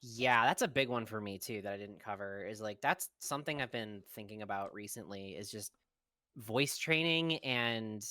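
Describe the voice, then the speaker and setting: A young adult guest in a casual podcast chat, talking in a relaxed, rambling way with lots of fillers. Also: medium pitch, moderate pace, dry recording.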